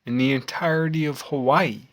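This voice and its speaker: A man in his forties with an American accent, giving a rambling, reflective monologue in a halting, musing way with repeated phrases. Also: mid-range voice. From United States. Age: 40s. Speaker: vlogger.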